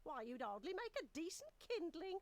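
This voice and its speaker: A woman's voice: very high-pitched, big pitch swings, normal speed. A woman playing an ancient female dragon, crotchety, coquettish and chatty, in a theatrical adventure game audio drama. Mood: haughty, crotchety. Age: older. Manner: theatrical, teasing, fussy, grandmotherly chatter.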